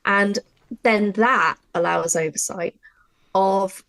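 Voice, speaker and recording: A woman presenting an informal writing workshop, talking through her method in an animated way and thinking aloud. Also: medium pitch, takes it slowly, dry recording. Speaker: audio drama writer and workshop presenter.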